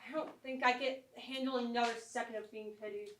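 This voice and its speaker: Grieving bitter mother, played by a woman, high pitch, forceful edge, accusatory, sarcastic, stage drama, distant echoey theatre recording. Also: steady tone, fast, slight background noise, lightly acted. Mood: weary, pained. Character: grieving, bitter mother lashing out at her husband. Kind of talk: staged reading of a stage play. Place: small theatre, live stage performance. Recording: echoey theatre, picked up from afar.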